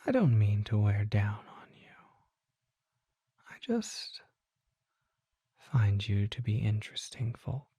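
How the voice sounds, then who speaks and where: Mysterious vampire merchant for ASMR audio drama, acted out: very breathy whisper, slow and mellow, formal and gently courteous. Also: mid-range voice, big pitch swings, no room sound, exaggerated voice. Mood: apologetic, gentle. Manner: formal, polite, unhurried, old-fashioned phrasing.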